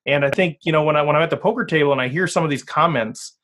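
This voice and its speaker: A man's voice: fairly high pitch, very fast, fairly bright tone. A man hosting a podcast from Minnesota, speaking earnestly and candidly in a loose conversational way. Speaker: podcast host.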